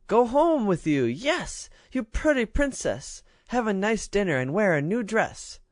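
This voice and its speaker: A tiny ragged girl chirps in lisping baby talk, squeaky high and full of bounce, as a man reads her out. She is hungry, merry and cheeky, a storybook urchin. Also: big pitch swings, a little slow, no room sound, clean studio sound. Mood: chirpy childish.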